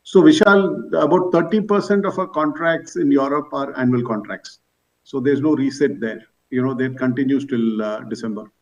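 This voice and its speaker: A man's voice: medium pitch, normal speed. A man, a company executive, answering analysts' questions on an earnings call, explaining things point by point. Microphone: conference call. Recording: distant, slightly dull conference call audio.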